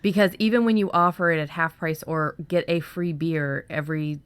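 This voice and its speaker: Female co-host, low register, salty casual podcast chat, dry studio-like sound. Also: fairly even intonation, normal speed, clear, resonant tone, no room sound. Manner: informal, salty-tongued. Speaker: podcast co-host on fiction writing.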